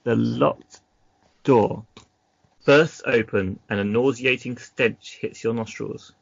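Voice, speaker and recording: A man's voice: mid-range voice, slow, creaky, no echo, muffled recording. A man narrating an adventure gamebook as game master, casually, breaking off into chatty asides.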